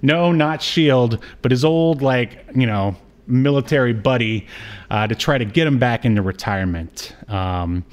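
A man co-hosting a podcast, chatting casually about television in a hesitant, halting way as he recaps. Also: mid-range voice. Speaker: podcast co-host. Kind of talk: casual TV review chat.

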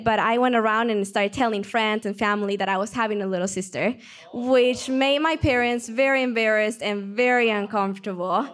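A young woman from Peru with a Peruvian Spanish accent, telling her faith story in a lively way. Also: a little high. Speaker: testimony speaker. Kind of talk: personal testimony.

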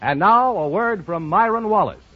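A man's voice: sits high, lively intonation, moderate pace, highs cut off, background noise. A man announcing a 1950s radio show in a scripted style, heard on an old broadcast recording.

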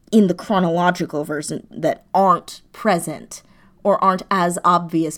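Woman, lowish pitch, casual interview guest, reflective, feeling her way through explanations. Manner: thoughtful, searching for words. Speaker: guest, audio drama writer.

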